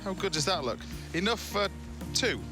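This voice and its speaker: An upbeat English man presenting a cooking show, joking as he plates food and quizzes his guest. His voice sits a little high for a man. Music plays clearly behind him. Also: expressive, normal speed. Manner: chatty, upbeat, jokey. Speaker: TV cookery show host.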